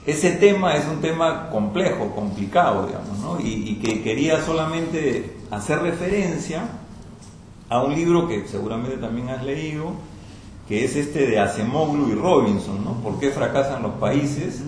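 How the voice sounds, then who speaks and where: A man's voice: medium pitch, animated, a little slow, darkish tone. A man commenting on a book at a public panel, speaking hesitantly, repeating words and adding rhetorical tag questions. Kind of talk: spoken commentary at a public event. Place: institute event hall. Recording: very echoey lecture room, faint noise.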